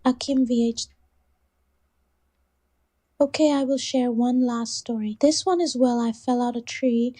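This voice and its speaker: A computer-generated woman's voice reading a viewer's donation message in an even, mechanical way. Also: fairly high pitch, unhurried, pauses often, slightly rough. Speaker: text-to-speech donation message voice. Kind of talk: synthetic message readout.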